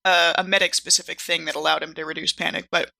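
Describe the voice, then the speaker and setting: A woman's voice: deep voice, little pitch movement, quick pace, gravelly, pushed and bright, dry recording. A woman chatting casually and informally as a player on a podcast, sprinkling her speech with filler words. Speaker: podcast cast member and player. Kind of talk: casual chat.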